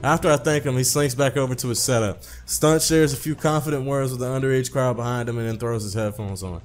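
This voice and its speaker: A young man reads story text aloud as host of a gaming video. His voice is medium-pitched and his delivery informal and profane, with clear music running behind him. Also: fairly even intonation, fast. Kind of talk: reading a visual novel aloud with casual commentary.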